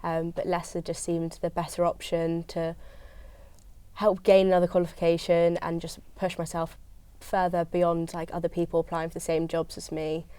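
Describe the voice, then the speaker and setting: A young woman from Surrey speaks low and even on a panel, with a smooth, warm tone. She gives steady, plain-spoken answers, and the sound is dry and close. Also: moderate pace, dry recording. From Surrey, England. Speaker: postgraduate student panelist.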